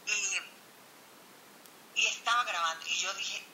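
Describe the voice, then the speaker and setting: A woman's voice: medium pitch, unhurried, pushed and bright, slight background noise. A woman singer from Venezuela telling a long story in a casual online chat, full of restarts and fillers. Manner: rambling, many restarts and fillers.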